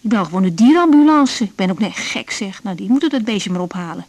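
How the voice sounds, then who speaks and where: An actress playing an overwhelmed young night-shift doctor in a radio drama, speaking in an intimate, weary, plain-spoken way. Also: female voice, medium pitch, animated, fast talker, a little mellow. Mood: weary irritation. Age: young adult. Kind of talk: naturalistic radio drama, diary-like monologue and dialogue.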